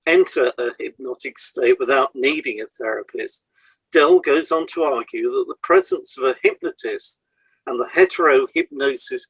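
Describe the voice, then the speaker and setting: A man presenting a scripted educational podcast in a measured, explanatory way, setting out items one by one. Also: mid-range voice, a bit bright, husky, dry recording, poor audio.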